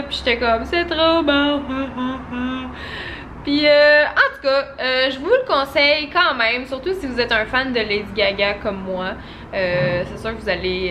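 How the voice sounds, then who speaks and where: A young woman with a Québécois French accent talks to her camera in a loose, chatty vlog style, sprinkling in casual fillers. Her voice sits a little high and sounds bright and sharp. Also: slight background noise. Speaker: vlogger. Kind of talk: casual chat to camera, film review.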